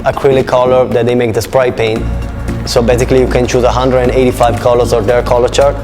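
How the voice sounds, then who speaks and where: Male, Italian accent, non-native English, informal interviewee, fairly flat intonation, music in background. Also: mid-range voice, quick pace. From Italy. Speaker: gallery and shop owner, interviewee. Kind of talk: casual documentary interview.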